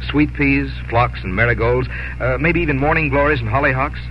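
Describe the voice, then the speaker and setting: A man announcing a scripted 1950s radio sponsor message in a folksy, conversational manner. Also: medium pitch. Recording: dull, noisy 1950s radio broadcast.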